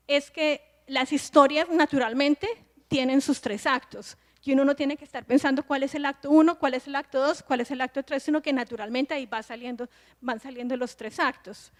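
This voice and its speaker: A high-pitched woman in her forties speaks as a film director and screenwriting teacher on a festival panel. She explains step by step, often restarting mid-phrase as she thinks aloud. Her voice rings with the long reverb of a large hall.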